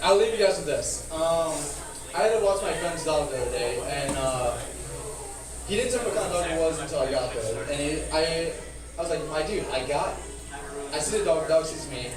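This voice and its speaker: A man doing rambling, profane, self-deprecating stand-up, with a speech impediment that softens his R sounds. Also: a little high, animated, a little fast. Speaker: stand-up comedian. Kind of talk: stand-up comedy at an open mic. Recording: echoey, noisy room, far from mic.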